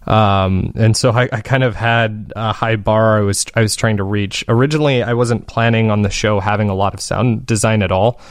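A man's voice: on the low side, steady tone, moderate pace, few pauses, no echo, clean studio sound. A man speaking conversationally as an interviewed podcast creator, explaining his process in a thoughtful, casually phrased way.

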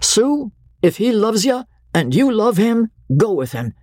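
A woman's voice: on the high side, sing-song, a little slow, mellow, no echo, studio quality, voice pushed up. A woman doing an earnest, folksy showboat hero. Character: rough brave showboat leading man, gallant.

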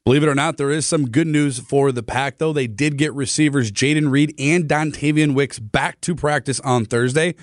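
A man reading a scripted sports report on a morning radio show, brisk and even. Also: fairly high pitch, no room sound, clean studio sound. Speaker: sports news reader.

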